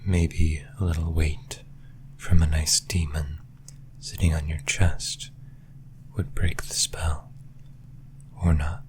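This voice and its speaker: A man voicing a possessive, taunting yandere demon in a breathy, menacing whisper, in the style of an ASMR roleplay. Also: rumbling low, level tone, darkish tone, a little hiss or hum. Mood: mocking, teasing. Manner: slow, menacing, teasing.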